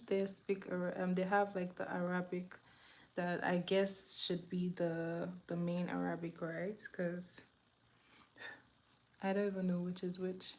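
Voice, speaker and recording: A woman's voice: on the low side, a little mellow. Fields: Sex: woman. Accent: Nigerian. Role: chatty makeup vlogger. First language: Igbo. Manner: chatty, drops to a whisper while applying. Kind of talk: casual makeup tutorial chat.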